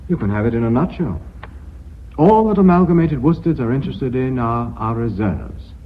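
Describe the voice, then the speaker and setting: Male actor for boardroom director: grave, clipped, principled, husky edge, expressive pitch, radio play. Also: moderate pace, close to an everyday voice. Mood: firm, sober. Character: cautious, sober, principled company director, a man. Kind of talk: radio drama acting. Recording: dull, muffled radio recording.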